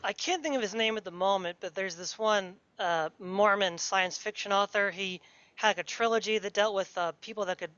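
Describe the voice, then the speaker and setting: A woman's voice: mid-range voice, a little fast, a bit bright, smooth, no room sound. Woman interviewer, dry and dull lo-fi sound. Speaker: podcast interviewer. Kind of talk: casual interview questions and comments. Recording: dry but dull, lo-fi audio.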